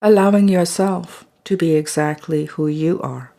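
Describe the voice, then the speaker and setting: Soft, slow meditation guide. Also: medium pitch, gravelly. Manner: slow, soft and calm, trailing into whispers at phrase ends. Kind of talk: guided body scan meditation.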